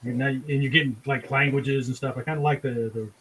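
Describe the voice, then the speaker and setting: A man's voice: mid-range voice, fairly even intonation, fairly quick, a bit bright, rough recording. Casual man with faint background noise. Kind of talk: casual chat.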